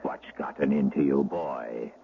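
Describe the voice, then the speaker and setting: An actor playing a gruff rustic shepherd who orders a boy about, in 1970s radio drama style. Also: rumbling low, steady tone, unhurried, full character voice. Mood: stern. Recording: muffled vintage radio recording, faint hiss.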